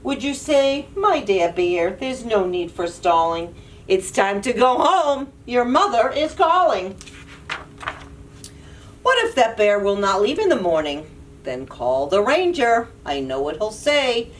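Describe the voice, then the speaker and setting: Lady doing kids storytime, really slow and playful, bit far from the mic with some noise. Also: fairly high pitch. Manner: animated, playful, exaggerated questioning delivery. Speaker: children's librarian and storyteller. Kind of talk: read-aloud picture book storytime for young children. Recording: distant mic, audible background noise.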